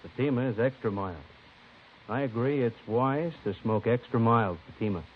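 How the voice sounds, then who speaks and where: A man's voice: medium pitch, normal speed. A man reading a scripted testimonial in a 1950s radio cigarette advertisement. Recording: muffled vintage radio, faint noise.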